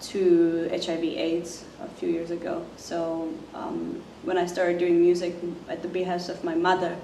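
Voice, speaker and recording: A woman from Zimbabwe, introducing herself as a musician in a soft, halting way, with many fillers. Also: lowish voice, completely flat delivery. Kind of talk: casual self-introduction to camera. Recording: roomy, distant mic, faint noise.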